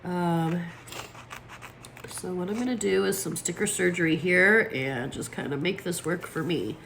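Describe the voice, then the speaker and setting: Planner vlogger, woman, slightly low, froggy from allergies, easygoing chatter, faint room hiss. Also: a little slow, vocal fry, a bit bright. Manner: chatty, lots of fillers, talks while placing stickers. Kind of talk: casual chat while crafting.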